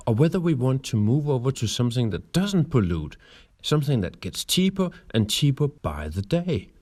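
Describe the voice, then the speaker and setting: A man from Denmark with a Danish accent, presenting persuasively and emphatically in measured phrases, speaking straight to the listener. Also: mid-range voice, a little mellow.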